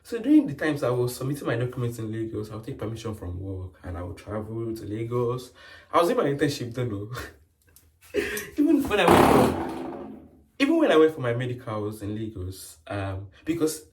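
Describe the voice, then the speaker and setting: A man's voice: medium pitch, wildly swooping pitch, brisk. A young man with a Nigerian accent, chatting casually in a rambling vlog story full of fillers. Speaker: nurse vlogger. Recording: roomy sound from a distant mic.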